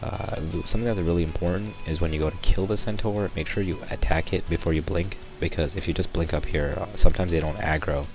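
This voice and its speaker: A sick young man with a raspy voice, rambling through a casual gameplay tutorial voice-over with lots of ums. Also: deep voice, fairly even intonation, fast, few pauses. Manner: rambling, many ums and restarts. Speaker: gameplay commentator. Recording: voice-over with loud background music.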